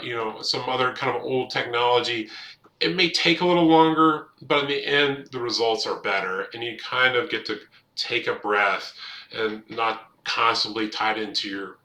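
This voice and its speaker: A man's voice: mid-range voice, sharp tone. A middle-aged man talking casually to camera and sharing advice in a hesitant, plain-spoken, unscripted way. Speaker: host of a history advice channel. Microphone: desktop webcam setup. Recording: roomy, echoing room sound.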